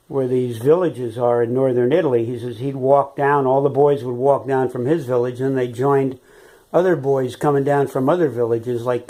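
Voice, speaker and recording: A man in his eighties from San Francisco, a retired biology teacher recalling his life in an unhurried, rambling interview, with many "uh"s and "you know"s. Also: mid-range voice, warm tone, poor audio. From San Francisco, California. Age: 80s. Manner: rambling, with frequent "uh" and "you know", chuckles as he remembers. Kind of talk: unhurried oral history reminiscence.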